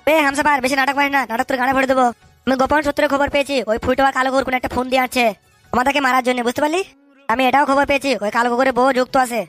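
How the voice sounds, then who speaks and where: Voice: high-pitched, flat delivery, rapid-fire, a bit bright, dry recording, faint music behind. An actor voicing a bullying, suspicious cartoon political boss, scolding and cursing in street slang. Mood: angry, suspicious. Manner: scolding, hectoring, repeats tag questions, curses constantly.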